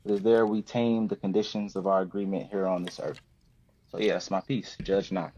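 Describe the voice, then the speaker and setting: A man's voice: deep voice, level tone, a little fast, mellow, a little hiss or hum. An American man of thirty from the West Coast, a poet speaking as a podcast guest. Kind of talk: poetry reading and casual chat. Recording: dull lo-fi roomy sound.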